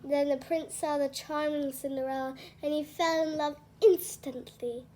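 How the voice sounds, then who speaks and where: A woman's voice: sits high, steady tone, normal speed, mellow. A woman reading a children's storybook aloud as a storyteller.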